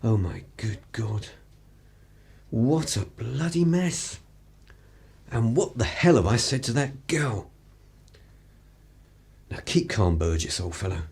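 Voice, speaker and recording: A man plays an upper-class English gentleman spy in a radio drama. His slightly husky voice swings widely in pitch, haughty and flustered, with old-fashioned dramatic phrasing. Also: expressive, slow pace, long pauses, full character voice. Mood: panicked muttering. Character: flustered, condescending upper-class male spy, drunk and desperate. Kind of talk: radio play acting.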